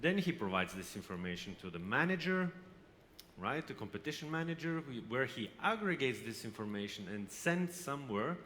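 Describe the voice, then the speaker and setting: A man from Lithuania gives a conference presentation, speaking haltingly with frequent hesitations and restarts. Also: mid-range voice, very animated, a bit bright. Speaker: company representative presenting. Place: exhibition hall. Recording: reverberant hall, faint background noise.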